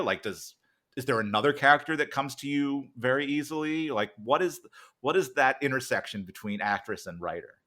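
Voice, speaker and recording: A man's voice: mid-range voice, pushed and bright. A man interviewing a colleague in a casual, conversational way. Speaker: podcast cast member interviewing a colleague. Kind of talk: casual chat.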